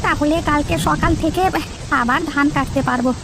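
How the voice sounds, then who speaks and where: A woman's voice: sits high, brisk, background noise. A woman voicing an anxious married village farmer in a children's cartoon, exclaiming and lamenting in homely colloquial speech. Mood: fretful. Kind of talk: children's moral-story cartoon dubbing.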